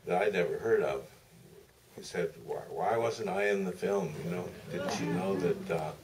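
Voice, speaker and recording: A man's voice: deep voice, moderate pace, a little mellow. An older man, a film director, telling anecdotes at a live audience Q&A in a theater. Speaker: documentary director, panel guest. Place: museum theater. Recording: distant, noisy auditorium pickup.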